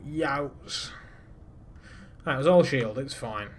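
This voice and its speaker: A man's voice: medium pitch, takes it slowly, a little hiss or hum. A man giving casual, self-deprecating live commentary to his viewers while playing a space game. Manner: chats to viewers while piloting. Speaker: streamer.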